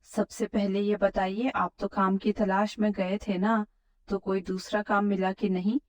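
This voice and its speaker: औरत की आवाज़ में सवाल करती व्यावहारिक ग्रामीण पत्नी. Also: female voice, medium pitch, little pitch movement, measured pace, darkish tone, lightly acted. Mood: sceptical. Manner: direct, questioning. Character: practical, questioning village wife. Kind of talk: Hindi cartoon moral story acting.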